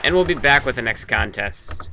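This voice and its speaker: A young man doing excited play-by-play for wrestling matches. His voice jumps up loudly on big moves, and he often restarts his phrases. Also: medium pitch, moderate pace, creaky, heavy background noise. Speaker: play-by-play commentator for his own video game matches.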